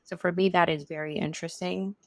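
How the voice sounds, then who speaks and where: A woman's voice: fairly low pitch, steady tone, fairly quick, no echo. A middle-aged woman from Hong Kong who is a venture capital partner, answering interview questions in a chatty way with many "you know"s.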